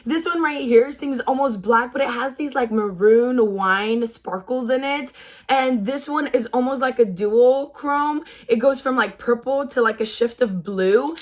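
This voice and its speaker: A young woman beauty vlogger with a raspy, tired voice, chatting casually about products, gushing and full of "like" fillers. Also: on the high side, measured pace. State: voice tired from shouting. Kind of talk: casual product review chat.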